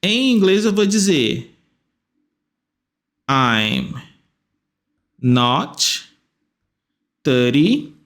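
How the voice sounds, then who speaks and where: Male English teacher, slightly high voice, drawn-out patient delivery, repeats each phrase. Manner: repeats phrases slowly, stretching words, lively rising questions. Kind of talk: slow step-by-step grammar lesson.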